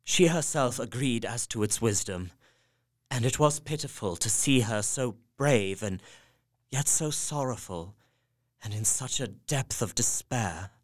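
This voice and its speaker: A man's voice: medium pitch, animated, a little slow. A man playing an anxious young Victorian husband, earnestly narrating his journal. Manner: earnest, worried, emotional. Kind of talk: radio drama journal narration. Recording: faint music behind.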